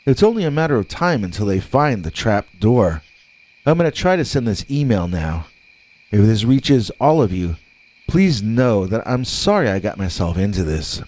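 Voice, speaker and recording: Low-voiced man narrating scary stories. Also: sing-song, normal speed, darkish tone. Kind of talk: scripted scary story narration.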